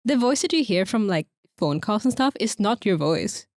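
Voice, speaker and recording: A soft-spoken woman co-hosting a podcast, talking casually in a quiet voice. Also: mid-range voice, lively intonation, normal speed, no room sound, clean studio sound. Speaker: podcast co-host. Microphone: close podcast microphone.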